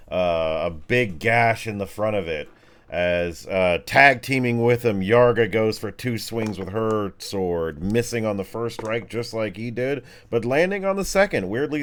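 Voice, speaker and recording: A man's voice: medium pitch, fairly bright tone. A man narrating a tabletop game as game master in casual, slangy language with vivid action descriptions.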